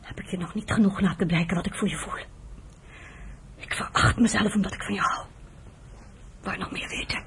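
A woman's voice: medium pitch, steady tone, brisk, pauses often, a bit bright, slight background noise, lightly acted. An actress playing a weary, bitter woman who nurses the man she loves, in a reproachful, emotional radio drama style. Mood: bitter, hurt.

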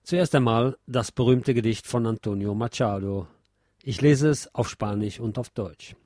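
A man giving a scripted literary talk and reciting poetry in a measured, deliberate, declamatory way, like a radio presenter. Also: medium pitch, a little mellow, dry recording, clean studio sound.

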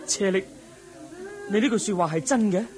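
Man playing a high-voiced, inquisitive young prince. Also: a little mellow, close to an everyday voice, rough recording. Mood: troubled, questioning. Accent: Cantonese. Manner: inquisitive, wondering, drawn-out questioning. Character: young prince, curious, earnest and troubled. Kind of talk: Cantonese radio drama acting.

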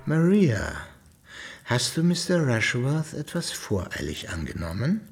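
Middle-aged patriarch in a radio play, grave, formal, very varied intonation, faint music. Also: male voice, mid-range voice, wildly swooping pitch, measured pace. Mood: grave concern. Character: grave, concerned patriarch questioning his daughter. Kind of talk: radio drama acting.

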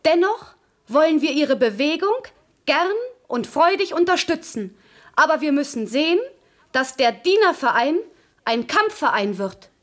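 An actress playing an excitable, outspoken young maidservant in a German radio play, with an emphatic, clipped delivery. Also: female voice, high voice, unhurried. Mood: eager.

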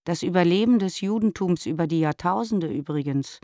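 Actress as low-voiced, lecturing mother. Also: fairly quick, warm tone, no echo, studio quality, close to an everyday voice. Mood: admonishing, concerned. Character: concerned, admonishing mother writing to grown daughter. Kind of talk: radio play letter reading.

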